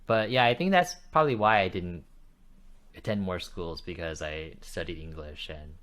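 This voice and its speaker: Really deep voiced guy on a podcast, careful, likes to clarify stuff. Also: moderate pace, fairly bright tone. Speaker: podcast co-host. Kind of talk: casual chat.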